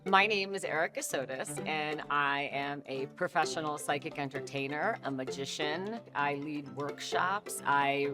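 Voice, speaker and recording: A woman's voice: low voice, unhurried, few pauses, music in the background. A woman speaking as a psychic reader on an unscripted TV show. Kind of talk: unscripted psychic reading and interview.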